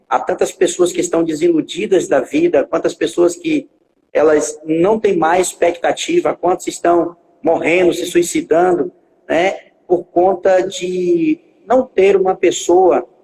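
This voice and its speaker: Gravelly-voiced man in his forties, echoing. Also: on the high side, darkish tone, slight background noise. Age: 40s. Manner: halting, with many filler pauses and repetitions. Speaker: guest pastor. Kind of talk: telling his life story in a live stream.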